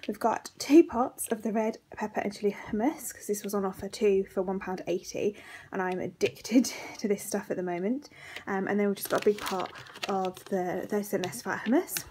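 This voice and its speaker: A woman's voice: medium pitch, slight background noise. A young woman chatting casually through a grocery haul vlog, laughing lightly between items, filmed on a phone at arm's length in a living room. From England. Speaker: vlogger. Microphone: phone camera at arm's length.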